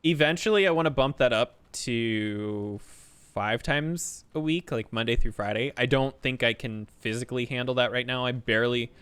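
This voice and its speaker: A man's voice: medium pitch, moderate pace, a bit bright, smooth. A man in his twenties chatting casually while playing a game, rambling, swearing freely and joking about himself. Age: 20s. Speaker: streamer.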